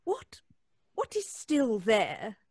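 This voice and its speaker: A woman's voice: on the high side, huge pitch swings, drawn-out words, a little mellow, husky, no room sound, clean studio sound, exaggerated voice. A woman playing a prickly, defensive, prim neighbor in an old-time radio suspense drama, with theatrical delivery. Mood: wary.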